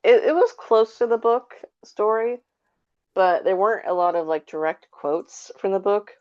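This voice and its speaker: A woman chatting casually as a podcast guest, with hesitant, halting phrasing. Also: medium pitch, a little mellow. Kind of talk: casual chat. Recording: dull lo-fi call audio.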